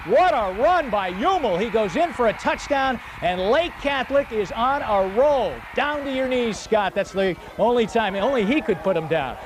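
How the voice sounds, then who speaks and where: A high-voiced male sportscaster calls football highlights in punchy bursts of short phrases. Noticeable noise runs behind his voice. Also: animated, moderate pace, hardly any pauses.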